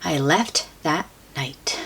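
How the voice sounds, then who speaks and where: A woman reads a picture book aloud to children in a theatrical storyteller's style. Her pitch moves around a lot, and she takes her time, stretching key words for emphasis. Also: animated, vocal fry, a bit bright, a little hiss or hum. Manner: theatrical, deliberate phrasing with drawn-out emphasis.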